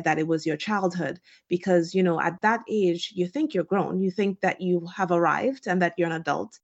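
Low, creaky woman from Trinidad and Tobago. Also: a little mellow. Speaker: physician guest on a podcast. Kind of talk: conversational interview answers. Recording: dull, lo-fi call audio.

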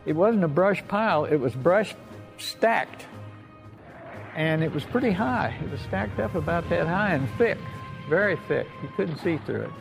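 An older American man, a war veteran, telling his memories informally in a folksy, halting way with repeated phrases. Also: a little high, animated, gravelly, music in the background. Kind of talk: informal oral-history storytelling.

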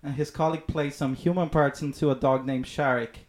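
A man's voice: fairly high pitch, fairly even intonation, a little fast, a little mellow. A man co-hosting a film podcast in casual chat, laughing at himself as he stumbles over names. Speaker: podcast co-host. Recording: echoey room with faint noise.

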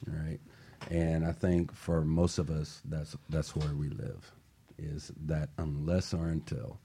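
A man's voice: a bass voice, steady tone, slow, vocal fry, dark tone, a little hiss or hum. A male talk show host in casual chat, encouraging and affirming his guest.

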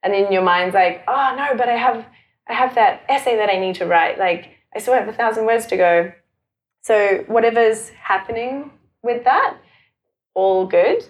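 A woman's voice: a little high, moderate pace, dull audio. A woman from South Africa who later lived in New Zealand, talking casually and informally as an interview guest, peppering her sentences with "like". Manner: informal, animated phrasing with frequent "like". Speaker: podcast guest, sexuality and mindfulness facilitator.

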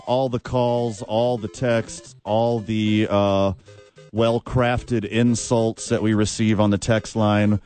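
An enthusiastic male sports radio host with a Texan accent, hyping fans in a casual, joking way. His voice sits at an ordinary middle pitch. Faint music plays beneath him. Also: level tone, takes it slowly, darkish tone. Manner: enthusiastic, hype-man energy.

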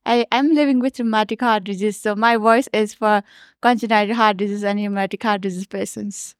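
A woman's voice: mid-range voice, fairly even intonation, a little fast, smooth, dry recording, studio quality. A woman from Nepal, a patient advocate, earnestly introducing herself in an interview.

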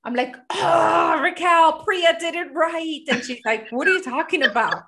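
Animated bright-voiced woman. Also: very high-pitched, measured pace, gravelly. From Puerto Rico. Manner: animated storyteller acting out quotes. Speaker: podcast co-host. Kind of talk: casual chat.

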